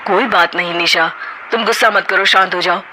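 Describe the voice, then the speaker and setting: A woman voicing a gentle, soft-spoken young husband who tries to calm others. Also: on the low side, a little fast, a little hiss or hum, low-quality recording.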